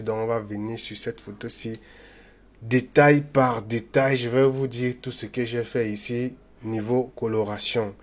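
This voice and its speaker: A man hosting a software tutorial, talking loosely and chattily with many fillers and restarts, and speaking straight to his viewers in a friendly way. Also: mid-range voice. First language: not English. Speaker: YouTube tutorial host. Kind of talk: unscripted screen-recorded software tutorial.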